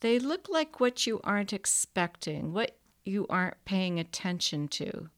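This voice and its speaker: A woman's voice: mid-range voice, very animated, measured pace. A woman voicing a precocious, sober little boy who talks in an earnest, matter-of-fact way.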